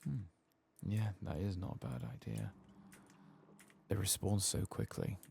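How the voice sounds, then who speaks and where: Deep, very creaky soft-spoken man. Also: measured pace. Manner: soft-spoken, murmuring, partly whispered. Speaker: gaming streamer. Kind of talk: soft-spoken ASMR game playthrough commentary. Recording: faint background noise.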